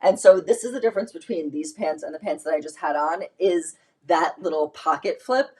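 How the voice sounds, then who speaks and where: A woman's voice: lowish voice, fairly quick, darkish tone, breathy edge. A woman hosting a casual live shopping stream, chatty and informal, her voice a little worn from a cold. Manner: chatty, informal, emphatic and conversational. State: voice going from a lingering cold. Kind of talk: casual chatty try-on haul.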